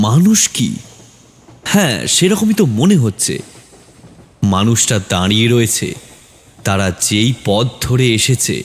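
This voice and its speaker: Male narrator, mid-pitched and highly expressive, suspenseful delivery for scripted horror storytelling. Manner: dramatic, suspenseful.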